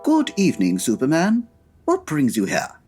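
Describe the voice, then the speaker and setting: Husky, prim, animated butler. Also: male voice, mid-range voice, moderate pace, soft background music. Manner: polite, proper, hesitant over slang. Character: formal, prim, discreet male butler.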